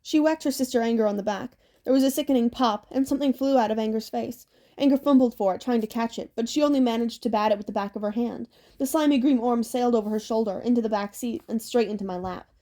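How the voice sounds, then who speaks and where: Female audiobook narrator, fairly high voice, relaxed dark-soft timbre, scripted storytelling. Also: fast talker.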